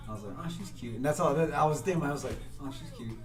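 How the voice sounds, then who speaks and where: A man's voice: fairly high pitch, racing, far from the mic. A man on a podcast spins a long, meandering story and drops curse words as he goes. His voice comes from a step away from the mic in an echoey room. Music plays behind him. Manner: rambling storytelling with frequent profanity. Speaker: podcast guest. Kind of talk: casual chat.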